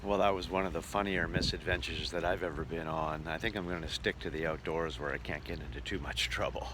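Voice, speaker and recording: A man with a very low voice hosts a casual travel vlog. He talks as someone documenting his own tour. The recording has clear background noise around his voice. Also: steady tone, fairly quick. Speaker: vlog host, touring performer.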